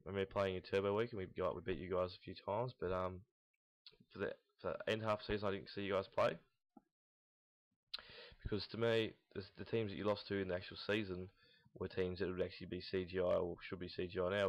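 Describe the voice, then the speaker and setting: A young man giving casual, unscripted esports commentary in a rambling, halting, blunt way, recorded in lo-fi sound. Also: sits low, little pitch movement. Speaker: host of a gaming team audio log. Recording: dull lo-fi, roomy echo, mouse clicks.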